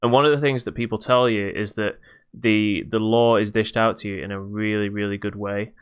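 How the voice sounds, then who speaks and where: Smooth, lowish-voiced man chatting. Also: steady tone, normal speed. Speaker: podcast host. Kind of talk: casual chat about video games.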